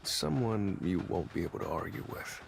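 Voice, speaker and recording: A man's voice: deep voice, big pitch swings, normal speed, creaky, full character voice. A man playing a cool, laconic, self-assured young monster hunter in a video game. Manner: terse, understated. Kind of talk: English game dub acting. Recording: music behind the voice.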